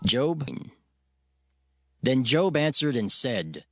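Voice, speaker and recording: A man's voice: medium pitch, very animated, very slow, a bit bright, no echo, clean studio sound. A man reading as the narrator of a dramatized Bible, in scripted scripture narration.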